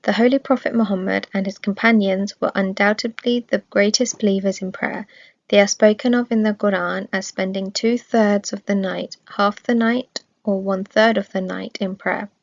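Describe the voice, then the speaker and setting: Measured woman narrator, slightly dull recording. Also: mid-range voice, steady tone, gravelly. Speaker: reader of religious study text. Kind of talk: scripted religious narration.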